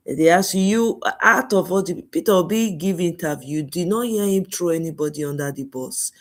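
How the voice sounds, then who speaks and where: A woman's voice: fairly low pitch, normal speed. A woman with a Nigerian accent hosting a casual commentary channel, chatty and mixing in pidgin.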